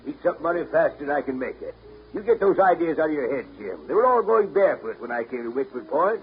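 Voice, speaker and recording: An actor playing a gruff, grumbling old grandfather in a blustery, emphatic 1930s radio drama style. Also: fairly high pitch, very animated, quick pace, slight background noise. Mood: scolding. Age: older. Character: gruff old grandfather, grumbling, practical-minded family provider. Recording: tinny 1930s radio broadcast recording, music behind.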